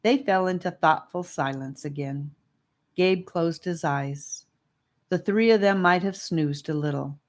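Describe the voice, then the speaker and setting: A woman's voice: fairly low pitch, unhurried. A woman reading scripted narration of a children's fantasy audiobook.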